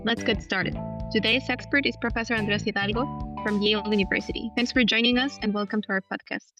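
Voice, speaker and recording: A woman hosts a science podcast in a mid-range voice, reading her scripted welcome. Clear guitar music plays behind her. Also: medium pitch, steady tone, fast, highs cut off. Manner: reads intro from a script. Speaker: podcast host. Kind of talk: scripted intro and interview questions.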